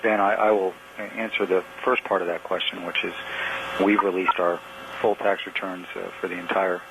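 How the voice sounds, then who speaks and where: Male political spokesman on a press call. Deep voice, halting delivery, clearly noisy phone line. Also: level tone. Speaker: political campaign manager. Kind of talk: answering press questions on conference call. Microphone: telephone.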